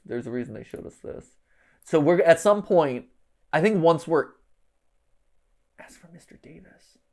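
Creaky-voiced man thinking aloud. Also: medium pitch, mellow. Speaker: livestream host. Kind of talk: casual live puzzle-solving commentary, thinking aloud. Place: indoor room, livestream set.

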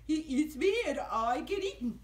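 High, lilting, gentle zombie puppet. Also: male voice, animated, normal speed, reverberant. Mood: friendly. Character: gentle, friendly zombie puppet, falling apart, easily flustered. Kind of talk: puppet show for children.

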